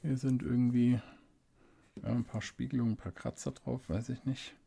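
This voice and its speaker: A man giving a casual unboxing review at a desk, unhurried and thinking aloud with small fillers. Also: medium pitch, level tone, vocal fry, mellow, breathy edge. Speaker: fountain pen reviewer. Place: indoors at a desk, hands-only camera. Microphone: studio desk microphone.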